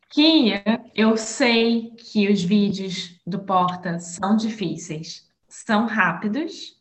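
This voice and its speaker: A woman teacher explains the plan for the class in a friendly, slow and patient way, pausing to make sure the learners follow. Her voice carries a noticeable room echo, as if she is in an open room. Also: fairly high pitch, a bit bright. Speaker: Portuguese teacher.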